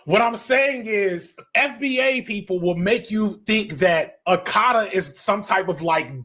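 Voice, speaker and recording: High-pitched, emphatic American man. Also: bright, forceful tone, husky. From United States. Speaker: video essayist and commentator. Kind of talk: opinionated off-the-cuff commentary.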